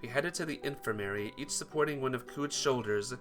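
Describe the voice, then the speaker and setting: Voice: medium pitch, a little fast, faint music behind. A let's player reading a visual novel aloud as dramatic narration, occasionally stumbling and correcting the wording.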